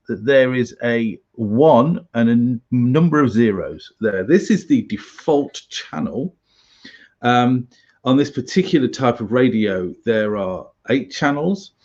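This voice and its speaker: A British man giving an informal tutorial as an instructor, halting and chatty with wry asides. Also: medium pitch, a little mellow, muffled recording. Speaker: survival instructor, presenter.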